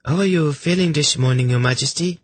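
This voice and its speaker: Man voicing a high-pitched smug young woman. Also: brisk, no echo, natural voice. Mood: bright, polite. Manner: bright, sweetly polite with mocking sweetness.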